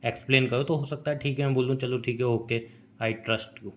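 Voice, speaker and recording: A man's voice: mid-range voice, fairly even intonation, a little fast, fairly bright tone. A young man bickering casually on a call, teasing, cutting in and dropping English phrases into his talk. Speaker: boyfriend in a couple's phone call. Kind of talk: casual argumentative chat.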